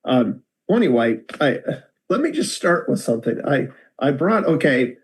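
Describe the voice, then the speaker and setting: A man's voice: medium pitch, normal speed. An older American man giving a rambling, self-deprecating storytelling talk over a video call. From United States. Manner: relaxed, rambling, self-deprecating with many restarts. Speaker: guest speaker.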